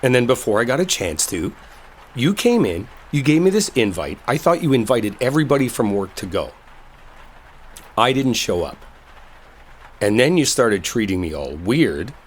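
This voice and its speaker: Guy acting as husky-voiced coworker in a roleplay audio, defensive but smitten, pleading, pitch jumps around a lot. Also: sing-song, normal speed. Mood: confessing, pleading. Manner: emotional, pleading, emphatic, conversational. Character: exasperated coworker man, defensive, secretly smitten, earnest. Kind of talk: roleplay audio drama, intimate one-sided dialogue.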